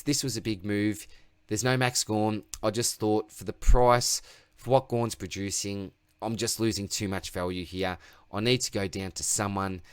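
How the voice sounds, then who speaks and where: A man's voice: fairly low pitch, smooth, no room sound. An Australian man hosting his own sports channel, reviewing his fantasy team in a chatty, rambling, self-mocking way, his voice tiring. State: voice getting tired. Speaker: fantasy football channel host. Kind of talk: casual solo sports review.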